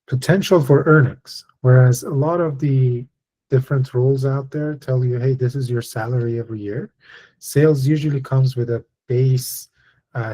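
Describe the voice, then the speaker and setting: A man from Iran, in his thirties, speaking as a guest at an online session and telling his career story in a measured, thoughtful way with frequent fillers. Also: mid-range voice, warm tone, rough recording. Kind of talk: personal story in a webinar talk.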